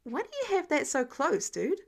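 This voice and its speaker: A woman's voice: sits high, sing-song, normal speed, pitched above the performer's own voice. A woman voicing a young male superhero, evasive, chatty and casual, in an audiobook story. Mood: protesting, distracted. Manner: casual, brushing things off.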